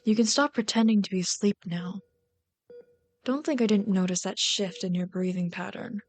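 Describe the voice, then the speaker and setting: A woman performs a commanding villainess in an audio roleplay. Her voice sits at a middle pitch, and she delivers everything with dry, theatrical mockery that has some care in it. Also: moderate pace, lightly acted. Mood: scornful, dry. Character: sardonic, commanding female villain, mocking yet caring. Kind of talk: ASMR audio roleplay drama.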